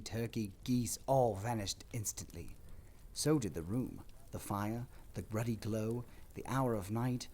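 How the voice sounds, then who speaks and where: A man narrating a dramatic story from a script in an expressive, theatrical manner. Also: fairly low pitch, slight background noise.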